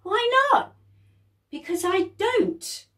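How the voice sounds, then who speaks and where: A woman reading a story voices an excited young girl on a boat, high-pitched and eager. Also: drawn-out words, heavily acted.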